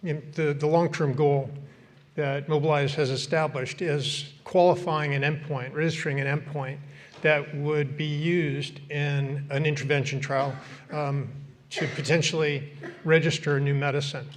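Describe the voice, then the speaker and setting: A man chairing a conference session, introducing the next speaker in a halting way. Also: a little high, steady tone. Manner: halting, many fillers.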